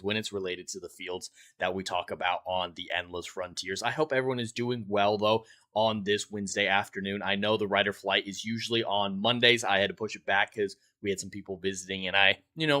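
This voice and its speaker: Deep, bright-voiced male livestream host. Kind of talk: livestream monologue, chatting with viewers.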